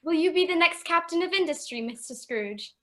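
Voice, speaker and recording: A woman's voice: very high voice, lively intonation, fairly quick, sharp tone, heavily acted. A woman playing a playful, forward young woman with a teasing manner in an amateur radio play. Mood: friendly.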